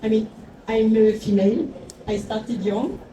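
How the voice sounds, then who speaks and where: A woman in her forties with a foreign accent, giving a reflective talk as a brand founder and often pausing for words. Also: on the high side, fairly even intonation. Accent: foreign, not Mexican. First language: not English. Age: 40s. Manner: reflective, searching for words, many fillers. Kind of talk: talk to an audience. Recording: echoey room, far from the mic, noisy.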